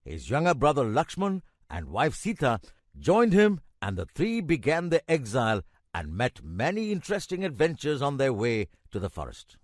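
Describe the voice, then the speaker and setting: Kindly old grandpa, cartoon storyteller, hugely varied intonation, dry close sound, emphatic pauses. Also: male voice, mid-range voice, dry recording. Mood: patient storytelling. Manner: measured, expressive, emphatic pauses. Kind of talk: children's storytelling narration.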